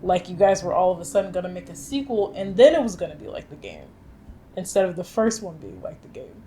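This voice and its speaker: Low-voiced woman, casual and slangy. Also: sing-song, a little fast, dark tone, slight background noise. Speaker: co-host of a video game film review show. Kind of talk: casual opinionated chat.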